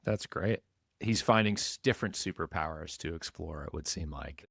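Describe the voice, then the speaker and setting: A man's voice: low voice, moderate pace, gravelly, fairly bright tone, no room sound, studio quality. A male podcast host, curious and affirming, asking long conversational questions. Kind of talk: conversational interviewing.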